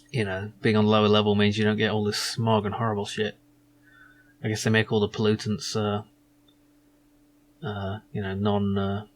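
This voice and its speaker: A man giving loose, rambling commentary as he plays a video game and reads its text aloud. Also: fairly low pitch. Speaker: video game let's player.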